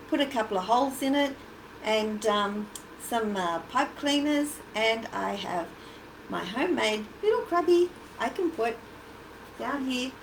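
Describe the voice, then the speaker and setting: An Australian-accented woman hosting a casual children's craft livestream, chatty and encouraging as she talks directly to the kids. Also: fairly high pitch. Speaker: children's storytime and craft host. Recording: echoey, noisy livestream from a distance.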